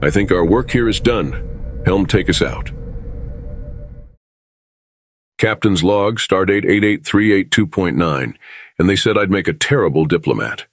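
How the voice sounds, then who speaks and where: Starship captain, male actor: very low voice, composed, reasonable negotiator. Close, dry sound, audio drama delivery. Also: expressive, no room sound, soft background music. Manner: measured, reasonable, conciliatory.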